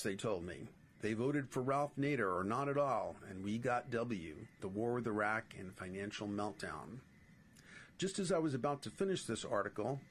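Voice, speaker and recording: Man, middle-aged, New York accent, essayist reading aloud, mid-pitched voice, faint background noise. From New York City. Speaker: writer and podcast host.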